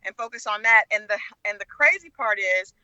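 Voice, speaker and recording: Middle-aged American female interview guest, very bright and sharp voice, candid storytelling, dry but dull lo-fi sound. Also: medium pitch, a little fast, dry recording. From Oklahoma, United States. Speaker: talk show guest, media personality and relationship expert. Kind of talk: conversational interview, personal storytelling.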